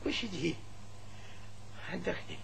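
An actor playing a folksy, fussing old village grandad who coaxes and nags in a Soviet radio play. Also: male voice, high voice, expressive, slow, creaky, fairly bright tone, full character voice. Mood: soothing, fussing. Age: older. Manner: folksy, coaxing, nagging repetitions. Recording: noisy 1970s radio drama recording.

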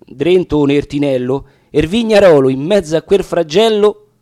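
A man's voice: on the high side, lively intonation, moderate pace, dark tone, no echo, studio quality. A man with a Roman accent reciting dialect verse for radio in a theatrical, impassioned storytelling manner. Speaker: reader of dramatic verse.

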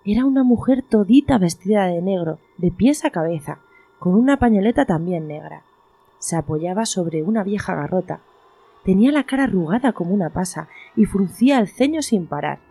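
A woman's voice: medium pitch, expressive, normal speed, dark tone, husky. A woman reading scripted narration for a radio play in the manner of a story.

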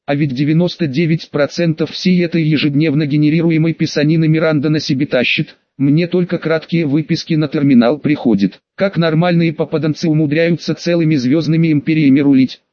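A man's voice: on the high side, no echo, highs cut off. A man reading a first-person science fiction audiobook in a colloquial, wry storytelling manner. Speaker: audiobook narrator.

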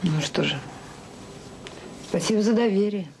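An actress playing a devoted, gently bossy middle-aged mother in a naturalistic radio drama. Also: mid-range voice, measured pace, gravelly, slight background noise. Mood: tired, resigned.

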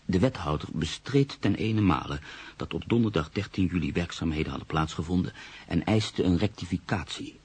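An actor playing a reflective, skeptical journalist in a radio play, telling his own story in a measured, literary manner. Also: sits low, darkish tone, husky, heavily acted. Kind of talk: radio play, first-person narration and dialogue.

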